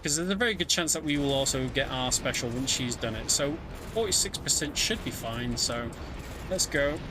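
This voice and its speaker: A man's voice: mid-range voice, expressive, normal speed, background noise. A man giving casual live speedrun commentary in a chatty, hesitant way. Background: video game music.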